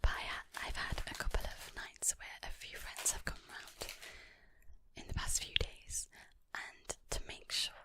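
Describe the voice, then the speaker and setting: A woman whispering in a casual ASMR chat, gently rambling and unhurried, as host of her own video. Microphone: portable handheld audio recorder. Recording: close whispered recording on portable recorder.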